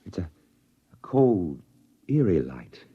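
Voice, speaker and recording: An actor playing a thoughtful male astronaut who narrates his moon landing in a 1950s radio drama, earnest and halting. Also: sits low, sing-song, breathy edge, no echo, phone-quality sound, full character voice. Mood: wistful. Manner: earnest, reflective, halting with small stammers. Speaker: narrator and crewman.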